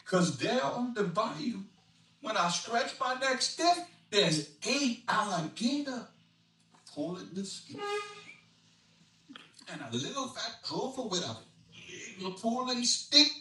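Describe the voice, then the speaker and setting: Man acting old Cajun storyteller, thick dialect, excitable storybook delivery, swooping high, echoey distant recording. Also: slow, long pauses, heavily acted. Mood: excited, hollering. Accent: Cajun Louisiana. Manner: dramatic, swooping, hollering, dialect grammar. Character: excitable old Cajun storyteller spinning a bayou Christmas tale. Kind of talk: animated storybook dialect reading. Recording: echoey room, distant mic, noisy.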